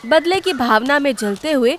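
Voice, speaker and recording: A woman's voice: high-pitched, lively intonation, normal speed, a little hiss or hum. A woman delivering scripted narration for a cartoon story. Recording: loud music behind.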